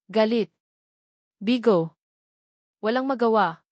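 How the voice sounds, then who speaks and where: A woman's voice: a little high, takes it slowly, darkish tone, dry recording, studio quality. A woman reading a scripted dramatic story as a storyteller.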